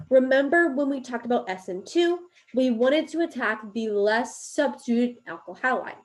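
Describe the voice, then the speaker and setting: A woman's voice: fairly high pitch, darkish tone. A woman giving a recorded chemistry lecture while drawing, explaining step by step, her voice a little worn from coughing. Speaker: chemistry teacher.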